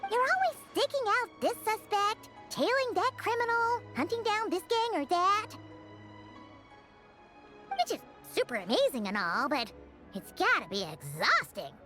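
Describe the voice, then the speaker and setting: Squeaky, very high-pitched childlike fairy girl. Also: very animated, a little slow, music in the background, exaggerated voice. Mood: chirpy. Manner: bubbly, exclamatory, speaks of self in third person. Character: tiny excitable childlike floating fairy companion girl. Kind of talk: video game voice acting.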